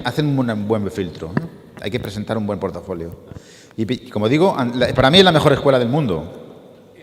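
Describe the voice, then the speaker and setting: Guy on a panel explaining stuff, mid pitch, echoey hall. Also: a little hiss or hum. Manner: loose, restarting explanations. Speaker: animator on a panel. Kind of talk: answering audience questions. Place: art school event hall.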